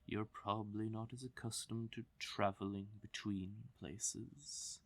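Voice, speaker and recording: A man voicing a smug, possessive fae king in an intimate roleplay audio drama, teasing and commanding with silky menace and drawn-out pauses. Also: fairly low pitch, fairly even intonation, a bit bright. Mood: cold menace.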